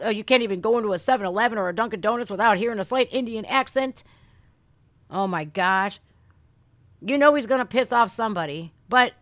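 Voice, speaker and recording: A woman's voice: medium pitch, fairly bright tone, no room sound. Female American livestream host. Off-the-cuff ranting, blunt folksy delivery with swearing, mid-range voice, very dry sound. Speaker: reaction video host. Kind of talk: casual livestream rant and chat.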